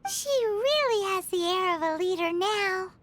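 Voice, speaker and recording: An actress playing a small childlike companion creature in a video game, perky, blunt and casual. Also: female voice, extremely high pitch, animated, a little slow, full character voice. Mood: impressed. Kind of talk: scripted video game character dialogue. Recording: game music loud behind the voice.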